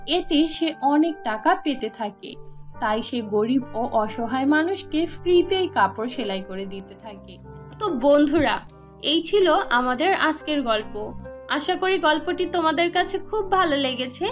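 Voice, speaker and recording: A woman's voice: sits high, expressive, unhurried, faint music behind. A woman reading scripted children's fairy-tale narration as the storyteller.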